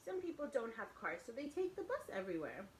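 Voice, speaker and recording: A woman with a fairly high voice reads a picture book to young children. She is expressive and speaks simply, like a teacher talking to her class. There is audible noise in the recording. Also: brisk. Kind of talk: read-aloud storytelling with asides to young listeners.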